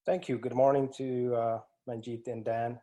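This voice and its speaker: A man's voice: mid-range voice, flat delivery, moderate pace, dark tone, muffled recording. A man in his forties from East Africa with an East African accent, answering interview questions as a guest with many hesitant fillers and restarts. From East Africa (Ethiopia and Kenya). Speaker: guest, IT strategist. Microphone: remote call.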